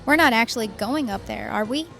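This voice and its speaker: A woman's voice: on the high side, a little fast, creaky, a bit bright, faint music behind. A woman acting as a worried, sensible young friend in a horror audio drama, speaking in a cautious, disapproving and pleading manner. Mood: alarmed.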